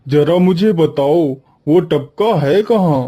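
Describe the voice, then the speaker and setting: A man's voice: fairly high pitch, slow pace, dark tone. A man voicing a curious, unafraid cartoon bear with a familiar, inquisitive manner. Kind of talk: Hindi cartoon story dialogue.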